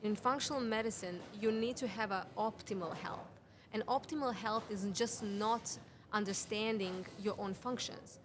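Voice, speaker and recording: A woman's voice: medium pitch, moderate pace, background noise. A woman in her thirties from Serbia, a non-native English speaker with a Serbian accent, talking earnestly as a podcast guest and explaining health ideas through strings of questions. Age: 30s. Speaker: guest, women's health mentor. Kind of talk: conversational podcast interview. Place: cafe.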